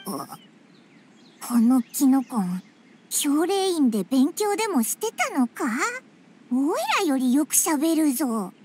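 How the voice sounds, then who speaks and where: A woman voicing a tiny, cheeky fairy companion in an anime-style game, blunt and excitable. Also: high-pitched, slow, a little hiss or hum, heavily acted. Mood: surprised, indignant. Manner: blunt, excitable, boyish wording.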